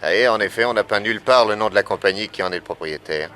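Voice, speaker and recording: A calm shrewd detective. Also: male voice, lowish voice, slight background noise. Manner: measured, reasoning aloud. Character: calm shrewd male detective, middle-aged. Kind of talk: French radio drama acting.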